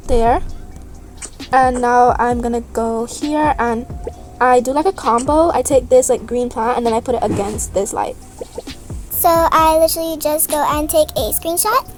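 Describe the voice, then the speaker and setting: A woman's voice: high-pitched, unhurried. A young woman giving a casual step-by-step tutorial voice-over as the host of her own video. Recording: voice over background music. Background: background music.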